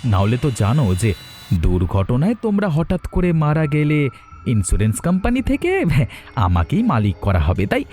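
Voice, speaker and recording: Man playing a sneering, murderous son with swooping pitch. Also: huge pitch swings, moderate pace, darkish tone. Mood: cold, threatening. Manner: menacing, sneering, theatrical. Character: greedy, murderous, defiant grown son threatening his parents. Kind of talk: melodramatic horror cartoon acting. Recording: faint music behind the voice.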